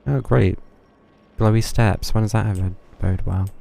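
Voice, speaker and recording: A man's voice: on the low side, steady tone, normal speed, vocal fry, warm tone. A man giving casual live commentary while streaming a game, muttering dryly and sarcastically.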